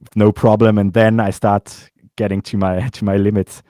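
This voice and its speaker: A man with a very low voice hosting a casual chat and passing on viewer questions. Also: moderate pace, dry recording. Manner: relaxed, reads viewer questions. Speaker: host. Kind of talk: casual chat over a stream.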